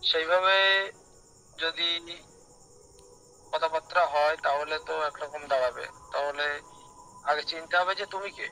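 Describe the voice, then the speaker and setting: A man's voice: fairly high pitch, measured pace, pauses often, bright, forceful tone, slight background noise, low-quality recording. A man in a casual phone conversation, questioning the other person insistently. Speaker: prank call recipient.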